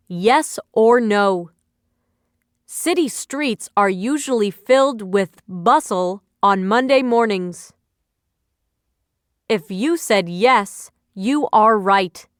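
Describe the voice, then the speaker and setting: Female instructor for scripted review material: clean, resonant tone, unhurried deliberate phrasing. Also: mid-range voice, animated, dry recording, studio quality. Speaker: quiz narrator, instructor. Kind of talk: scripted educational narration.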